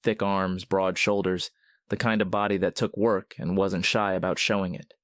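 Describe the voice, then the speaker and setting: A man narrating a scripted story in a measured, literary manner. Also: deep voice, little pitch movement, fairly bright tone, dry recording, clean studio sound.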